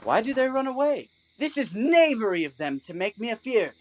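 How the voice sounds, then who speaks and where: A man's voice: extremely high pitch, big pitch swings, fairly quick, slight background noise. Man playing a pompous, hammy amateur-actor weaver. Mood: indignant. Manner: hammy, declamatory. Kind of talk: theatrical comic Shakespearean audio drama acting.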